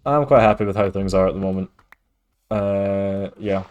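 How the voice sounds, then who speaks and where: A man's voice: deep voice, moderate pace, lo-fi sound. A man from Scotland with a mild Scottish accent, streaming a game and casually chatting with viewers. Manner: relaxed, chatty, reads and answers chat with frequent fillers. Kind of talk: casual gaming stream chat.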